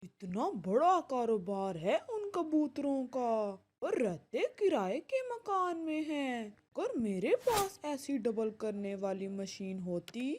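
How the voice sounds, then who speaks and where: A woman's voice: on the high side, very animated, slow, hardly any pauses, darkish tone, voice pushed down, full character voice. A woman voicing a greedy male crow landlord, suspicious and envious, in a children's cartoon.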